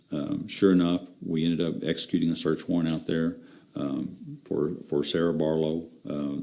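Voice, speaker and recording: Very low male voice, soft dark tone, investigator recounting casework, halting and measured. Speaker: law enforcement investigator. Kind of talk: conversational recounting of a case.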